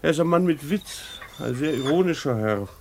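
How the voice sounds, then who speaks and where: Animated, creaky-voiced schoolteacher husband. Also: male voice, fairly high pitch, moderate pace, noisy background. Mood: weary, fond. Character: weary, ironic schoolteacher husband explaining himself to his wife. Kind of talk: radio play dialogue.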